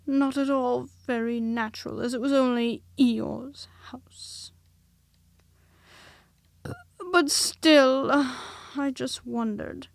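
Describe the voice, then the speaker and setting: A woman's voice: sits high, creaky, no room sound, voice pushed up, full character voice. A woman doing a gloomy old donkey. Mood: melancholy. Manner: slow, drawn-out, mournful delivery. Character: gloomy old male donkey, slow and melancholy. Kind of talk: storybook reading.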